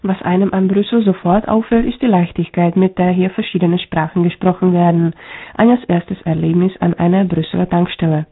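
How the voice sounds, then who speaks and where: A woman reporter reading scripted radio narration in an even, measured way. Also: lowish voice, breathy edge. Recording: tinny, phone-like radio sound.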